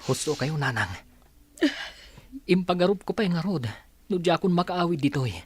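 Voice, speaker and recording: A man's voice: fairly high pitch, expressive, moderate pace, breathy edge. A man playing a grateful, earnest son returned from an ordeal in an Ilocano radio drama, with a Filipino accent. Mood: relieved, earnest.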